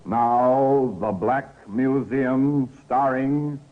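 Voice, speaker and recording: A man's voice: medium pitch, drawn-out words. A man formally announcing a vintage radio drama in a declamatory, dramatic style. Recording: muffled old radio recording. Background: music.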